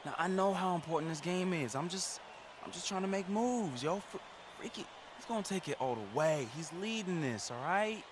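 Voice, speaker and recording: A brash, talkative best friend in a sports game. His high voice bounces around in slangy banter, amid clear noisy gym ambience. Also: lively intonation, moderate pace. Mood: cocky, playful. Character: brash, chatty young hype-man best friend.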